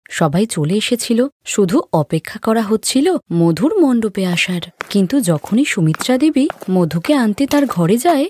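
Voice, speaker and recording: A woman's voice: mid-range voice, darkish tone. A woman narrating a scripted story as the storyteller.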